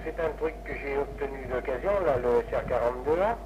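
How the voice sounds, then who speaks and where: A man's voice: medium pitch, a little fast. A man chatting as an amateur radio operator in a casual, rambling way, hesitating and repeating himself. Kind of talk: casual ham radio contact. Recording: noisy lo-fi 1960s amateur radio recording.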